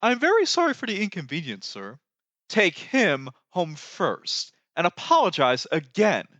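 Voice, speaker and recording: A performer playing a grandiose skeleton king of an animated musical, melodramatic and excitable. The pitch sweeps widely and the words are drawn out with theatrical flourish. The recording is roomy and a little dull. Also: unhurried, full character voice. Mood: genteel, delighted. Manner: theatrical, sweeping, dramatic delivery. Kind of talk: fan re-recording of animated musical film lines.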